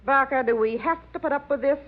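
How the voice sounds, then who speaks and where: An actress playing a hot-tempered, slangy, combative circus trapeze performer in an old-time radio drama. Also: female voice, high-pitched, fast talker, lightly acted. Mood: defensive, angry. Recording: dull lo-fi old radio recording.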